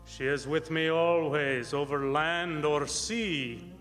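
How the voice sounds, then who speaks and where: A man acts a possessive vampire in a radio play, his fairly high voice slow and solemn. Music sounds behind him in a long, reverberant hall. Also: a bit bright. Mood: possessive, menacing. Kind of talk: stage radio drama acting.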